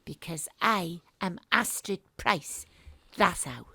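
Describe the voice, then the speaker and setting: A woman's voice: on the low side, expressive, takes it slowly, gravelly, sharp tone, husky, dry recording, studio quality, full character voice. A woman playing a probing, accusing investigator in an audio drama, pressing with insistent, knowing questions. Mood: pressing, triumphant.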